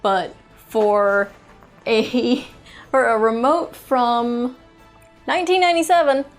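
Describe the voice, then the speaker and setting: A woman's voice: on the high side, slow pace, faint music behind. A woman giving a casual, unscripted product review as a host, chatting and thinking aloud as she handles items.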